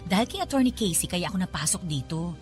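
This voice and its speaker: A woman's voice: fairly low pitch, animated, fast, faint music behind, lightly acted. A woman playing a patient, good-natured young legal assistant in a radio drama, with a Filipino accent and a conversational manner. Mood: resigned, teasing.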